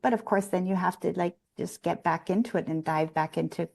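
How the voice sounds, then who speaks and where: A woman's voice: lowish voice, fairly quick, vocal fry, darkish tone. A middle-aged woman guest telling her life story frankly, reflective and rambling, with a few restarts. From Canada. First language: Hungarian. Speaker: interview guest. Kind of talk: candid personal storytelling.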